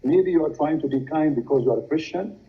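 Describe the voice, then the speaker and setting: A man whose first language is Arabic, speaking as a guest in a halting, hesitant way with many fillers. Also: fairly high pitch. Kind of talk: casual discussion. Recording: tinny phone-like line.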